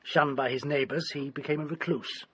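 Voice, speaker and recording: An actor playing an agitated, obsessed English doctor in a radio drama, with formal, dramatic delivery. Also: male voice, fairly high pitch, steady tone, normal speed, husky. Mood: grave, confiding. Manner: formal, dramatic, urgent pleading and grave storytelling. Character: agitated, desperate male doctor obsessed with an occult book. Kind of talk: British radio drama acting.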